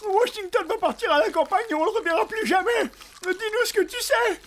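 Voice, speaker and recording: A woman's voice: very high-pitched, a little fast, slight background noise. A woman voicing a loyal, eager young wild boar in a children's cartoon. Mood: earnest, urgent.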